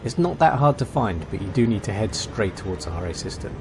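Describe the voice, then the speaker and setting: Male gaming host, lowish voice, casual guide commentary over faint background noise. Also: big pitch swings, brisk, vocal fry.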